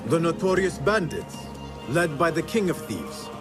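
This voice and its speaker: A young male assassin in a game cutscene. He is loyal and earnest, with measured phrasing and very lively intonation. Music plays clearly behind him. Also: fairly high pitch. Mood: curious, urgent. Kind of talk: video game cutscene acting.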